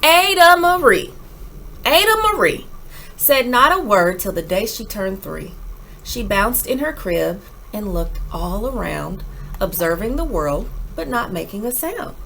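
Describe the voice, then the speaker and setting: A woman reading a children's picture book aloud in an expressive, animated way with dramatic emphasis. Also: medium pitch, measured pace, vocal fry, slight background noise, low-quality recording.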